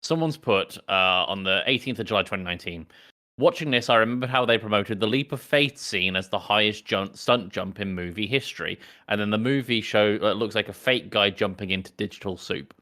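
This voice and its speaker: A man's voice: fairly low pitch, fairly quick, pushed and bright. A man co-hosting a podcast, talking casually as he reviews films. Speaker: podcast co-host. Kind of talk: casual film review chat.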